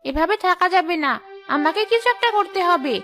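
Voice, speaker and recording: A woman's voice: very high voice, lively intonation, brisk, fairly bright tone, faint music behind. A woman voicing a hungry, scheming man-eating ghost-witch in a cartoon, boastful as she talks to herself. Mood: brooding, hungry. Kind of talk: Bengali cartoon voice acting.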